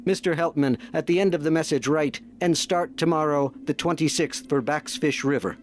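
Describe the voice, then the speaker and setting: Man playing a stern, highish-voiced commanding officer. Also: moderate pace, soft background music, natural voice. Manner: clipped, commanding. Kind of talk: audio drama acting.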